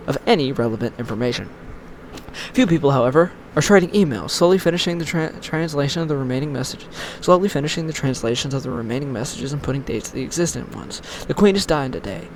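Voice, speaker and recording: A man's voice: a little high, slight background noise, poor audio. A man reading a scripted online horror story as its narrator.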